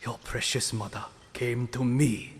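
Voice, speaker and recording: An old rat sensei, acted by a man, speaks with solemn, formal care. The pace is very unhurried at a middle male pitch. Long reverb surrounds this dramatic fatherly figure. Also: steady tone, a little hiss or hum, close to an everyday voice. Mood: grave, sorrowful. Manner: formal, measured, grave. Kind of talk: dramatic audio drama acting. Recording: long reverberant hall echo.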